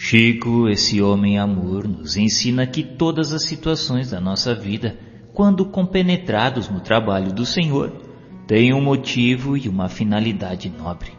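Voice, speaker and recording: A man with a Brazilian accent narrates a scripted inspirational story in a measured, solemn, literary way. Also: lowish voice, expressive. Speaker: audiobook narrator. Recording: dull lo-fi, long reverb, music behind. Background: music.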